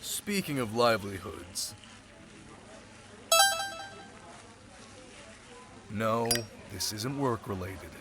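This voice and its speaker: A man's voice: on the low side, animated, measured pace, very noisy. A man playing a sly, centuries-old thief turned security specialist, talking in a casual, teasing way in an audio roleplay drama. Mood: playful. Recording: loud crowd chatter behind voice.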